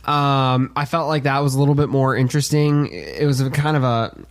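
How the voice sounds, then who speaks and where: A man co-hosting a podcast, discussing casually and giving measured, carefully qualified opinions. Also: mid-range voice. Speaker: podcast co-host.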